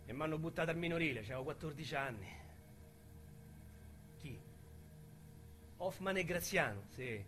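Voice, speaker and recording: A rough young Roman street criminal making a confession in a radio play. His voice is high and bright, with a sharp edge. He tells it vividly in thick slang, halting and agitated. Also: animated, slight background noise. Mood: subdued, bitter. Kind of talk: dramatic radio monologue, colloquial storytelling.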